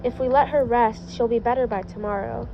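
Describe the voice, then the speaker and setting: A teasing but caring boy, quick to get embarrassed, read by a woman. The storybook voice is fairly high and sits in dull audio over music. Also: fairly even intonation, vocal fry, a little hiss or hum. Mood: cautioning. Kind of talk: storybook-style character voice in fan fiction reading.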